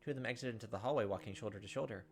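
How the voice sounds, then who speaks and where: A man's voice: lowish voice, racing, faint music behind. A man hosting a gaming video, reading visual novel narration and commenting casually.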